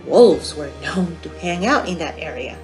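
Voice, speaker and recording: A woman narrating a storytime for young children as a library storyteller, in a simple, expressive manner. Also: sits low, moderate pace, faint music behind. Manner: expressive, simple, asking the listeners questions.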